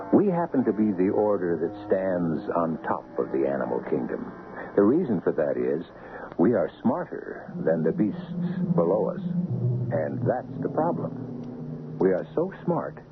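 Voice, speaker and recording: A man playing a wry, philosophizing host, narrating a radio mystery in a measured, portentous, theatrical way. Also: sits low, exaggerated voice. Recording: tinny radio broadcast. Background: music.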